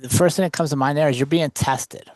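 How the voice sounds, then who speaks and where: A man's voice: a little high, steady tone, brisk, a little mellow, dry recording. A man hosting a podcast, affirming and encouraging, summing up what his guest says. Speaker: podcast host. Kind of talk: interview chat.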